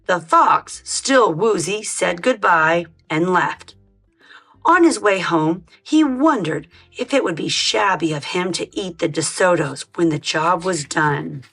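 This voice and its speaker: A woman narrating a children's storybook as a read-aloud host, in an expressive, measured style. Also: on the low side, husky, faint music behind.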